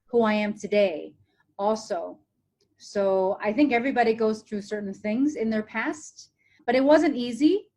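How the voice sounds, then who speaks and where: A woman's voice: medium pitch, darkish tone. A woman from Hong Kong with an American accent, a TV presenter talking casually as an interview guest on a call. She is reflective, laughs often and repeats phrases for emphasis. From Hong Kong, raised in Ohio, USA. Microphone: remote call.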